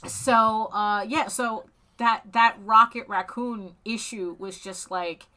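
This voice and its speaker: A middle-aged woman from Spain, an artist being interviewed over a video call, talking casually in a halting way with frequent restarts and small chuckles. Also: fairly high pitch, steady tone, bright, forceful tone. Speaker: comic artist guest.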